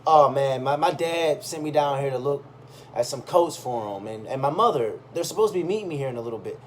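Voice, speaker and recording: A man's voice: mid-range voice, animated, fairly quick, lightly acted. A man voicing a nervous teenage boy bluffing in a told story, polite and stammering. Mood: casual bluffing. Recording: clearly audible background noise.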